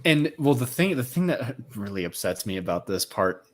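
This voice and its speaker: A man's voice: mid-range voice, very animated, normal speed. A man co-hosting a book discussion in a casual, colloquial way, with hesitant phrasing and exclamations. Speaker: co-host of a read-along discussion.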